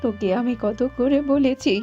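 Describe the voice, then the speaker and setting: A woman's voice: on the high side, normal speed, dull audio, soft background music, close to an everyday voice. A woman voicing an exasperated cartoon mother bird, nagging and full of strict threats. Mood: scolding.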